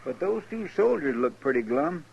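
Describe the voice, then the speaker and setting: Chester, creaky, lilting deputy. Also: male voice, on the high side, very animated, normal speed, exaggerated voice. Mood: respectful, folksy. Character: folksy, easygoing frontier lawman's deputy. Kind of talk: 1950s radio western acting. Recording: muffled, faintly noisy old radio recording.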